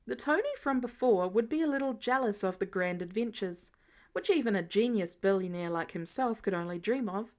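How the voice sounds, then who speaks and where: A woman's voice: mid-range voice, animated, fairly quick, a little mellow. A woman reading fan fiction aloud as a scripted story narration. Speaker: podfic narrator.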